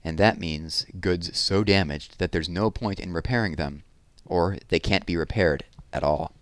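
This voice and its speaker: A man narrating a children's chapter book expressively, leaning deliberately on key words for drama. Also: deep voice, no echo. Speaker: read-aloud narrator.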